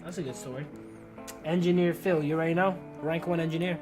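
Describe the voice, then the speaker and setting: Casual woman streaming over clear music. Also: sits low, fairly even intonation, fast talker. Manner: casual slangy asides. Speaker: game streamer. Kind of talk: live game stream, reading text aloud with casual commentary.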